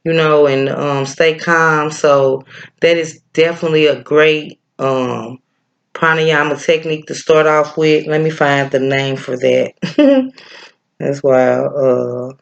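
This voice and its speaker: A man with a Midwestern accent giving a casual breathing lesson, laid-back and chatty, often pausing with fillers as he searches for a name. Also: on the high side, level tone, creaky, dull audio. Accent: Midwestern American. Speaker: breathwork and yoga teacher. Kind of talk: casual spiritual teaching, rambling.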